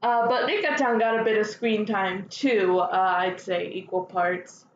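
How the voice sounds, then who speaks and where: A woman hosting a fan news show solo, chatting casually with gushing, excitable enthusiasm. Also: mid-range voice, a little slow. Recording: dull lo-fi sound, roomy echo, faint noise.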